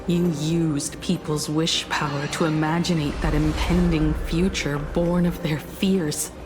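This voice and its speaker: A resolute video game heroine, facing down her enemy. Her voice sits a little low, and she speaks slowly and firmly, weighing each phrase with stern confidence. Prominent music runs underneath. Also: fairly even intonation. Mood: accusing. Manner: deliberate, firm, confrontational, measured. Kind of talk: dramatic video game voice acting. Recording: loud game music behind. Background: game soundtrack music.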